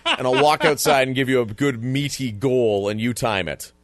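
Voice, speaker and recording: A man co-hosting a casual sports podcast, chatty and easygoing, quick to laugh. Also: mid-range voice, noisy background. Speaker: podcast co-host.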